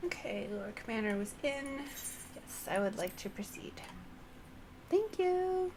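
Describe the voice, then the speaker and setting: A woman's voice: fairly high pitch, sing-song, measured pace, background noise. A woman streamer reading game dialogue aloud, with casual comments in between. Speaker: streamer playing a story game.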